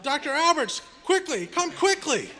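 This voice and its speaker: A man's voice: extremely high pitch, sing-song, moderate pace, in a large hall, a crowd in the background. A man playing a panicky lab colleague in old-time radio horror, urgent, stammering and melodramatic. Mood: anxious.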